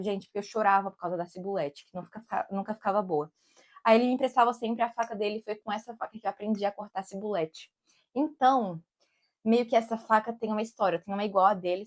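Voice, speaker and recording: Young Brazilian woman with a Brasília accent, a cook vlogging outdoors, loose and chatty delivery full of filler. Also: medium pitch. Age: young adult. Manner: rambling and digressive, keeps saying "tipo" and "amigos". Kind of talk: casual chatty vlog. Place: outdoors in summer, with bees around.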